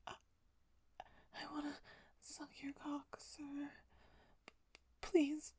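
Woman, breathy whisper, stammering, high voice, slow delivery. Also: completely flat delivery, dark tone. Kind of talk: whispered erotic audio roleplay.

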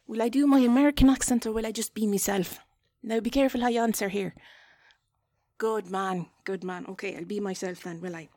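A woman with an Irish accent playing a flustered, chatty wife in an intimate audio roleplay, halting and coaxing, often dropping into a breathy whisper. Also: on the high side, warm tone. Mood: awkward, reassuring.

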